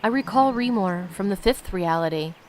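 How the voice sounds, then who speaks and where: A woman reading aloud as a solemn diary writer recounting mystical visions, in a measured storytelling manner. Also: medium pitch, expressive, a little hiss or hum, lightly acted. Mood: pondering.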